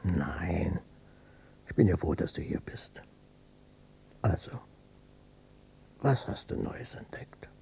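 A man's voice: low voice, expressive, takes it slowly, vocal fry, long pauses, mellow, whispered, exaggerated voice. A male actor playing a bitter, stubborn old father, a former policeman, brooding and obstinate, in a German radio drama. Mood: sullen, guarded. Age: older. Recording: noisy cassette copy of radio play.

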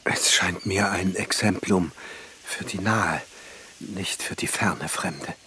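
An actor playing a reverent, awestruck glassmaker in a German radio play, speaking in a halting, theatrical way that often drops towards a whisper. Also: male voice, low-pitched, a little hiss or hum, heavily acted. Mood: hushed reverence. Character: awestruck male glassmaker rapturously contemplating a painting. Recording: loud music behind the voice.

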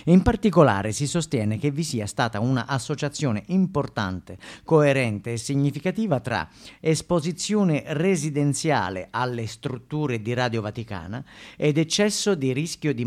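A man narrating a scripted documentary monologue for the stage, measured and grave, with deliberate pauses. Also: medium pitch, animated, darkish tone.

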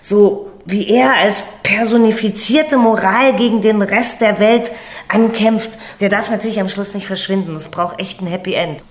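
An actress playing a brash, cynical hustler in a radio play, talking in slangy, offhand dialogue. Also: female voice, mid-range voice, normal speed, close to an everyday voice. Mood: scheming, excited.

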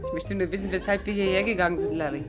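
An actress playing a sharp-tongued, wary woman who tells her own story with dry sarcasm in a 1950s German radio drama. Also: on the low side, fairly even intonation, rapid-fire, loud music behind the voice, close to an everyday voice. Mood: teasing, impressed. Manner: sardonic, dry. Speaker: actress playing the lead and narrator. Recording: vintage 1950s radio production.

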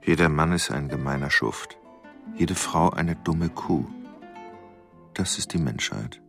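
Obsessive loner: extremely low, slow, gravelly radio drama monologue, bitter and gloomy. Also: male voice, soft background music, exaggerated voice. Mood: misanthropic. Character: lonely, bitter, obsessive male letter writer.

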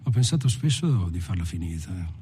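An actor playing a reflective male lifer who recounts his past in a halting, literary, introspective monologue. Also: low voice, big pitch swings, warm tone, a little hiss or hum. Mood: melancholy, rapt. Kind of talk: film monologue told like a personal testimony.

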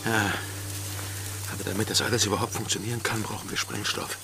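An actor playing a bold, wry male pirate with a gravelly voice in a German radio play. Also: fairly low pitch, fairly even intonation, a little fast. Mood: wry, scheming. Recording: music behind the voice.

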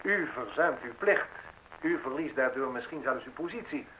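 An actor playing a defiant, sceptical newspaperman in a radio play, with a husky voice and a curt, probing delivery. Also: medium pitch, animated, moderate pace, bright, forceful tone, slight background noise, poor audio. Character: defiant, sceptical male newspaperman facing arrest, sharp-witted. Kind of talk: Dutch radio play acting.

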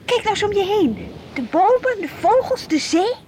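A high, girlish voice of a devout Dutch teenager, earnest and dreamy with a stubborn streak. She speaks as in a radio drama diary, with a Netherlandic accent, over faint recording noise. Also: very animated, moderate pace, darkish tone. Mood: earnest, sure. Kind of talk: Dutch radio play acting, diary monologue and dialogue. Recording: faintly hissy old radio play recording.

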